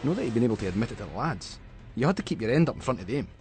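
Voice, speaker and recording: A man's voice: medium pitch, big pitch swings, racing, a little mellow, soft background music. A man with a Scottish accent giving a dramatic, suspenseful narration of a story in colloquial Scots dialect.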